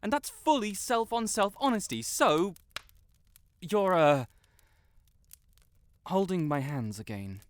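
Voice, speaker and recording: A man's voice: sits high, very animated, slow pace, pauses often, heavily acted. A man playing a proud, lovestruck wizard in a romantic audio roleplay, haughty but stammering, blurting things out and backtracking. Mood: haughty, flustered. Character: proud, flustered tsundere wizard secretly in love.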